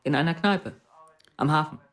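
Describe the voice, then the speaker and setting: An actor playing a nosy police colleague in a radio drama, with casual, probing talk. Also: male voice, on the high side, moderate pace, fairly bright tone, lightly acted.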